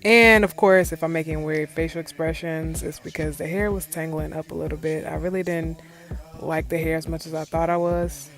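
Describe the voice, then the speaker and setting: A woman's voice: sits low, fairly even intonation, moderate pace, vocal fry, faint music behind. A young woman chatting casually through a hair tutorial on her own channel, rambling with lots of ums and filler words. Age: young adult. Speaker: beauty vlogger.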